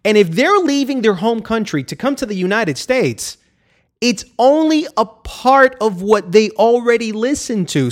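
A male talk host with a voice pitched a little on the high side. He lays out his opinions with emphatic, effusive energy and often restarts a phrase mid-thought. He is from New York and grew up partly in Colombia. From born in New York, raised partly in Colombia. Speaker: host and critic.

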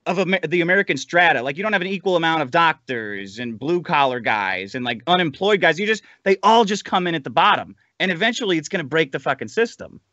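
A man's voice: on the high side, sharp tone, no room sound, highs cut off. A man speaking as a podcast panelist in a casual political debate, opinionated and casually profane.

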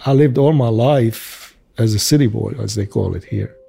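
A man's voice: on the low side, moderate pace, gravelly, mellow, faint music behind. A man in his sixties from Syria, speaking English with a Syrian Arabic accent, earnestly recalling his youth in an interview. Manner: earnest, reflective storytelling. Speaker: interviewee, father. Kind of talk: interview recollection.

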